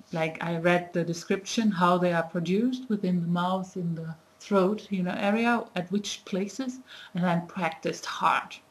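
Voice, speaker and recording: A young woman in her twenties giving a casual language lesson to a webcam in a small room, talking in a chatty, thinking-aloud way with many fillers. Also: lowish voice. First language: not Nepali. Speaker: language teacher. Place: small indoor room, plain wall. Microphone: webcam at desk distance.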